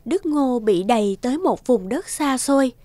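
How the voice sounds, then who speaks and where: A woman's voice: high voice, lively intonation, normal speed, mellow, no room sound, studio quality. A woman narrator reading a scripted storybook folk tale. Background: background music.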